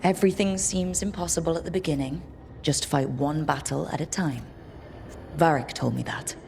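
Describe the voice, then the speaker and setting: An actress playing a wry, encouraging young leader of a band of heroes in a video game. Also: female voice, low-pitched, moderate pace, vocal fry, a little mellow, slight background noise. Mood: resolute. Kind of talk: video game cutscene acting.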